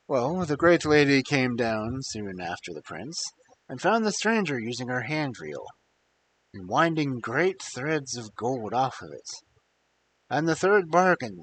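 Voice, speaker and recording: Unhurried male storyteller with lively pitch. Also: poor audio. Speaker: storyteller, live stream host. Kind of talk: expressive fairy tale narration. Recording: faint background hiss.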